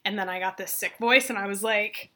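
A woman's voice: medium pitch, steady tone, bright, forceful tone, a little hiss or hum. A young woman co-hosting a podcast in casual chat, playful and teasing. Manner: playful, teasing, quick back-and-forth. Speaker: podcast co-host.

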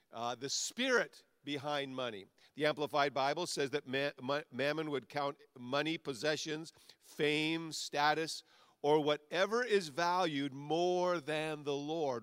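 A man's voice: fairly high pitch, a bit bright, smooth, no room sound, studio quality. A male pastor preaching a sermon in a conversational way that builds to emphatic peaks.

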